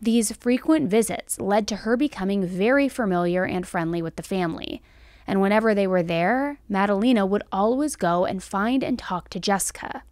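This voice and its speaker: Woman, American, thirties, medium pitch, true crime commentary, emphatic, conversational, very dry studio sound. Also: moderate pace, a little mellow, no echo. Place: small indoor studio set. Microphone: close podcast microphone on stand.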